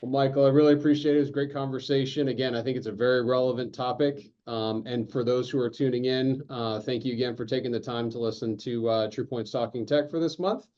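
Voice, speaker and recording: A man hosting a business interview, asking questions haltingly with repeated "you know" fillers. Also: mid-range voice, steady tone, a little mellow, highs cut off. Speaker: interview host.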